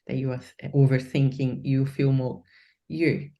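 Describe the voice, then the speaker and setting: Slow, low-voiced woman mentor, meandering. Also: flat delivery, mellow. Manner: meandering questions with many fillers and restarts. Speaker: career mentor and host. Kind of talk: interview questions.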